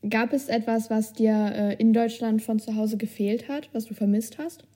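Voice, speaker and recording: A woman's voice: a little high, steady tone, normal speed, warm tone, slightly rough. A woman hosting a podcast, asking friendly questions and encouraging her guest. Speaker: podcast interviewer. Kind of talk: casual podcast interview.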